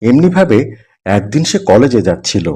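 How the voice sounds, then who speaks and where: A man's voice: medium pitch, big pitch swings, moderate pace, dark tone, slightly rough. A man giving scripted narration for a story, as its narrator.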